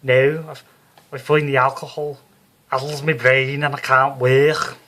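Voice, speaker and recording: A man voicing a brash, bossy female stage psychic from a storybook, with a Liverpool accent and loud theatrical patter. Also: mid-range voice, little pitch movement, measured pace, a little hiss or hum, voice pushed up. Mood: curt, polite.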